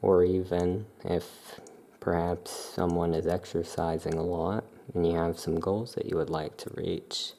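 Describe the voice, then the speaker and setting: Soft-spoken ASMR shopkeeper, unhurried and calm, with a mellow, gravelly tone. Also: low voice. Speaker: witch shop keeper presenting amulets.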